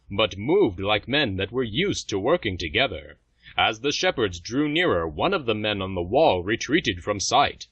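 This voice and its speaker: A man's voice: fairly low pitch, a bit bright, breathy edge, no echo. A man reading scripted fantasy story narration for an audio drama. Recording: slightly dull, music underneath.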